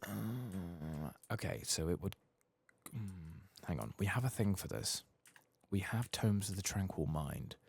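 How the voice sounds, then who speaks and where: Male ASMR game streamer: husky, soft and slow, half-whispered musing with varied intonation. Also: low-pitched, animated. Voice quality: soft-spoken, often dropping to a whisper. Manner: unhurried, murmured musing to himself. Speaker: gaming streamer, ASMR channel host.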